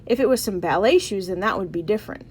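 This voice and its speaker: A woman's voice: mid-range voice, expressive, fast, darkish tone, slight background noise, lightly acted. A woman voicing a spirited, outspoken young schoolgirl in a storybook, frank and emphatic. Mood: firm.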